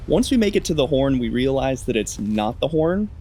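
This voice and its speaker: A young man with a medium-pitched voice narrates gameplay casually. He is wry and slangy, telling it like a story to friends. Faint game sound runs underneath. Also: big pitch swings, moderate pace, dark tone, slight background noise. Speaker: gaming video creator.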